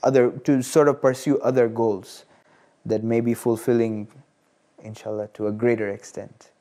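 Mellow-voiced young man from Tanzania. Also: mid-range voice, little pitch movement, normal speed. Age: early twenties. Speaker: interview guest, student. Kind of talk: studio interview, personal reflection. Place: studio. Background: plucked guitar music.

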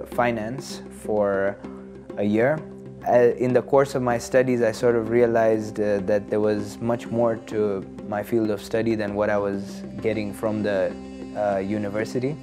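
A man in his early twenties from Tanzania, speaking as an interview guest in a measured, hesitant and earnest way. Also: fairly low pitch. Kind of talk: studio interview, personal reflection. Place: studio. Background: plucked guitar music.

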